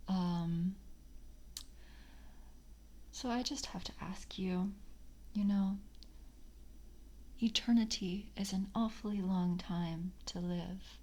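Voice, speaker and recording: A woman playing a polite vampire coven member in a soft-spoken ASMR roleplay, breathy and often whispering, with formal, halting courtesy. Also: medium pitch, steady tone. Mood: polite, measured.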